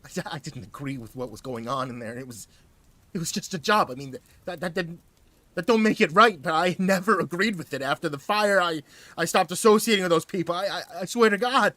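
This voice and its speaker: A man's voice: brisk, bright, forceful tone. A man voicing a frightened, stammering middle-aged thug who pleads for his life. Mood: pleading. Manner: stammering, pleading, high-pitched panic.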